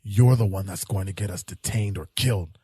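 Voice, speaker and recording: A man playing a cynical, self-serving hard-boiled police detective in an audio drama, defensive and pragmatic, with a rough voice. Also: deep voice, fairly quick, dark tone, dry recording. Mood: defensive, frustrated.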